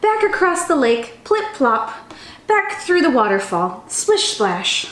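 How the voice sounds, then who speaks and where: A young woman reads to small children in a high voice that swoops widely up and down. She is expressive and sing-song, talking to them directly as a storytime teacher. The room adds a noticeable echo around her voice. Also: takes it slowly. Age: young adult. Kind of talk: expressive picture-book read-aloud for young children. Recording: roomy indoor echo.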